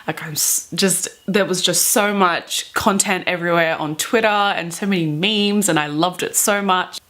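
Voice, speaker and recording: Woman in her twenties, lowish voice, gushing and animated, chatty vlog delivery, dramatic emphasis, music in the recording. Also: moderate pace. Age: 20s. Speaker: book vlogger. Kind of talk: casual chatty vlog with dramatic reading aloud. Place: small bedroom, indoors.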